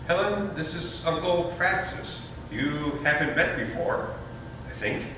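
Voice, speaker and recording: A man playing a flustered, fawning young nephew in a comic stage reading, stammering and blurting as he flatters his uncle. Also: a little high, measured pace. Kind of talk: staged readers theater comedy. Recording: echoey hall, far-off mic, faint noise.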